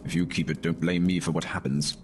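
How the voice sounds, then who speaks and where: A man playing a gruff, solemn old sergeant major giving grave warnings in a dramatic horror reading. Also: fairly even intonation, brisk, a little hiss or hum, natural voice. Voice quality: deep, gravelly.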